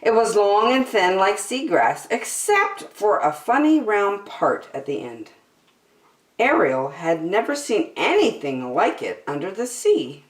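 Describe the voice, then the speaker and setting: A middle-aged woman reading a picture book aloud expressively, as a children's story time host. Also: mid-range voice. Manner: animated, deliberate. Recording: slightly echoey room.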